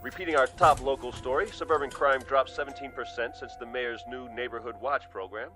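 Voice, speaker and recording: An actor playing a polished television evening news anchor in a radio drama, reading the news. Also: male voice, mid-range voice, fairly even intonation, brisk, sharp tone, soft background music, natural voice. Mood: brisk, official.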